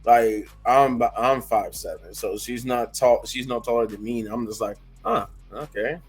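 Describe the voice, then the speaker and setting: A hoarse man giving a casual, rambling wrestling review, full of fillers and restarts. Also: mid-range voice, normal speed, soft background music.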